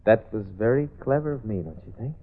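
A man's voice: mid-range voice, very animated, brisk, close to an everyday voice. An actor playing a sly, menacing male killer who taunts and flares into rage, in 1940s radio acting style. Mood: taunting. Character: unhinged adult male killer, smug and menacing. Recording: tinny old radio broadcast.

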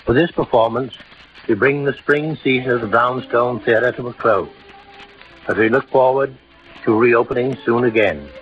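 Male radio host, 1940s: courtly, measured, muffled old recording, faint music. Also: mid-range voice. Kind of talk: formal 1940s radio sign-off narration.

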